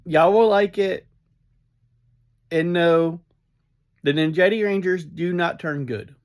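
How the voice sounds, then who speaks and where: Slow-talking man, higher-pitched voice. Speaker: podcast reviewer. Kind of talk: casual fan review monologue.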